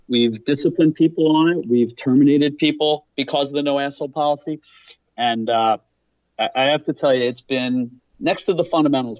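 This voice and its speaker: A man with a mid-pitched voice, talking as an interview guest. He explains things earnestly and with emphasis, through a somewhat dull, lo-fi channel. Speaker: interview guest, business owner. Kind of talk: conversational interview answers.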